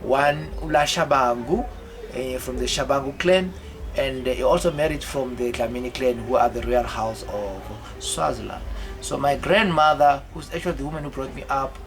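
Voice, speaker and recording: A South African man in his fifties telling his life story in a rambling way, halting often with fillers and repeated words. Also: mid-range voice, music in the background. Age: 50s. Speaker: autobiographical vlogger.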